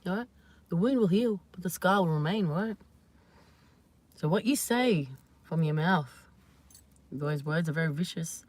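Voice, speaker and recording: A woman hosting her own channel, chatting casually and at length to her viewers, slightly hoarse, rambling and laughing often. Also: lowish voice, expressive, measured pace, pauses often, darkish tone. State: hoarse from screaming. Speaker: channel host. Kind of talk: casual live vlog chat to viewers.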